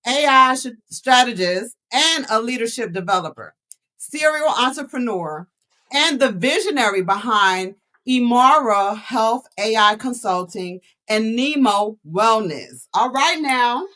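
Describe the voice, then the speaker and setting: A woman co-hosts a podcast with lively, playful energy. She reads out her guest's introduction with slangy asides and then asks warm, curious questions. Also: a little high, measured pace, rough recording. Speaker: podcast co-host. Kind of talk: casual interview.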